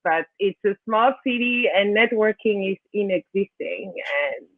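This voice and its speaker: Low-voiced woman on a thin, narrow line. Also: a little slow, no room sound. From Cameroon. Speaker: interview guest, financial services professional. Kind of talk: conversational interview answers. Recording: narrow, thin call-like sound.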